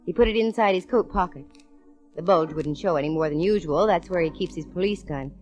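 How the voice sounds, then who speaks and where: An actress playing a confident, nosy private eye in a breezy, slangy 1940s radio style. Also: female voice, lowish voice, fairly quick, darkish tone, dull audio, lightly acted. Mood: wry narrating. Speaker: lead actress in a radio detective serial. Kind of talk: 1940s radio acting, hard-boiled narration and dialogue. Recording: vintage radio show, music behind.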